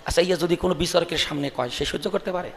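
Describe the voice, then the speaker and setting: A man telling comic folk stories on stage in an animated style. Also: a little high, very fast, creaky, some chatter behind, a little hiss or hum. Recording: echoey hall sound system.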